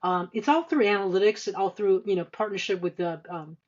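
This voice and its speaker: Female podcast guest, fifties, hesitant conversational delivery, middle-pitched voice, dull recording. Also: a little mellow. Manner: halting, hesitant delivery with frequent stammered repeats and long filler runs. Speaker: podcast guest, senior finance executive. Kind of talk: conversational interview answers, career recollection.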